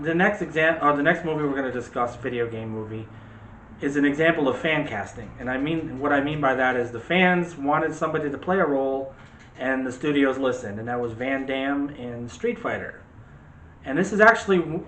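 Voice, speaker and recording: A man's voice: mid-range voice, fairly bright tone. Male host for casual movie talk, rambling and self-correcting, recorded in a reverberant room. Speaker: host of a film discussion show. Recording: roomy echo with faint background noise.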